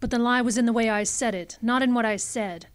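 A woman's voice: on the high side, fairly quick, clear, resonant tone, lightly acted. An actress playing an anxious, secretive femme fatale in a radio drama, hesitant and melodramatic in her pleading. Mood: evasive. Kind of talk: old-time radio detective drama acting.

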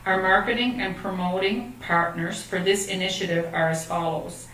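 A middle-aged woman with a slightly low voice reads a prepared, formal presentation. She stands away from the mic in an echoey room, with faint noise behind her. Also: steady tone, normal speed, fairly bright tone, far from the mic. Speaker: economic development officer giving a presentation. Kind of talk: formal scripted presentation, read aloud. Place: meeting room indoors, at a lectern.

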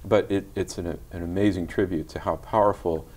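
A middle-aged man speaking gently as a guest in a TV studio interview, with many small hesitations, on a lapel mic. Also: sits low, steady tone, fairly quick. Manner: gentle, soft-spoken storytelling with hesitations. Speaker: guest musician. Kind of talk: casual interview talk. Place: tv studio set.

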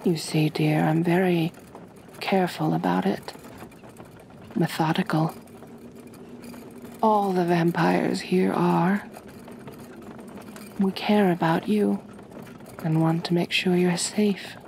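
A woman playing a kind, possessive aristocratic vampire mistress in an ASMR roleplay, speaking gently in a measured, genteel, soothing way. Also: lowish voice, steady tone, gravelly, a little hiss or hum. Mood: gentle, reassuring.

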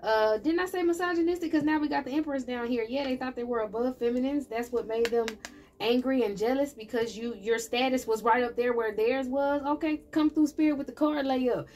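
A woman's voice: sits high, a little fast, a little mellow. Chatty woman giving a tarot reading. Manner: chatty, talks straight to viewers as "y'all". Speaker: tarot reader. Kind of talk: casual tarot reading for viewers. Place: indoor table with candles and plants.

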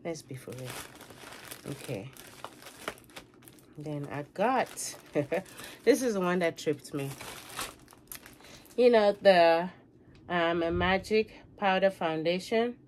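A middle-aged Cameroonian woman talks casually to her camera, her voice on the low side, trailing off and circling back as she chats. She sits close to the camera in a room lined with shelves. A faint hum of room noise runs underneath. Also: lively intonation, takes it slowly, long pauses, darkish tone. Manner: chatty, laughing asides, trails off and repeats words. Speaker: beauty vlogger.

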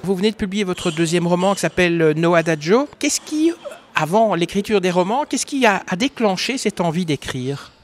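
A man hosting a podcast, asking an author measured questions. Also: high-pitched, expressive, a little hiss or hum. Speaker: podcast host. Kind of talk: interview questions.

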